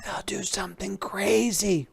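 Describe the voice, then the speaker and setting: An anxious young page boy from a storybook, acted by a woman. He talks in a hushed, deferential way, worried and politely pleading with someone above him. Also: medium pitch, steady tone, a little slow, gravelly, husky, voice pushed up, heavily acted. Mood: urgent pleading.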